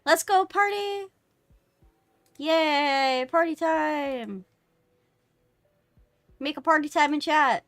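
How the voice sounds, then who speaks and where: Bright, high-voiced woman streaming casually. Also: slow pace, pauses often. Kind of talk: casual chat on a game stream.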